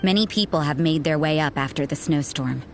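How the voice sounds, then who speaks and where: A woman's voice: sits low, brisk, slight background noise. A woman playing a cool, sardonic, suspicious church sister in a video game, with a dry, cynical, interrogating manner. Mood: cool, aloof.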